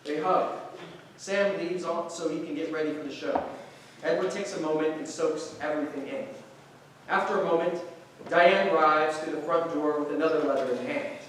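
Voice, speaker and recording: A man's voice: a little high, fairly even intonation, moderate pace, a bit bright, far from the mic. Male narrator for a staged reading, scripted, heard from afar with faint noise and echo. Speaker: stage directions reader. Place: large echoey indoor room.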